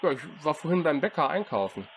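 A man on a phone call, with a calm, dry and sarcastic delivery. His fairly high voice rises and falls a lot. A faint hiss runs behind him. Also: fairly quick. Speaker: host of a prank call show. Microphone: phone call recording.

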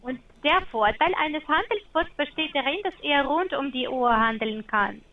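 A woman's voice: high voice, animated, normal speed. A woman in her forties making a sales call, formal and scripted, with slightly awkward phrasing. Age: 40s. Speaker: sales agent on a phone call. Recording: dull phone line.

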